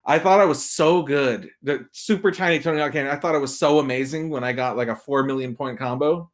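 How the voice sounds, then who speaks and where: A man chatting casually as a podcast guest, animated and jokey, rambling a little and acting out imagined quotes. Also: medium pitch, fairly quick. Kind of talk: casual chat.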